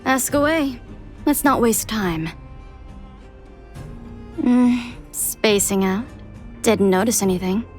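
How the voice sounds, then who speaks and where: A woman playing a curt, indifferent vagrant in a video game, deadpan and blunt in clipped phrases. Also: fairly high pitch, slow pace, pauses often. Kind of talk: English video game voice acting. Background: game music.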